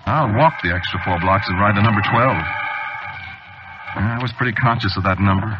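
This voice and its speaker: An actor playing an anxious, haunted man confiding his obsession haltingly in a 1940s radio drama. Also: fairly low pitch, over loud music, natural voice. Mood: uneasy confiding. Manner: hesitant, rambling, halting confiding delivery. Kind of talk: 1940s radio drama acting, confessional narration. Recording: dull, noisy old radio recording.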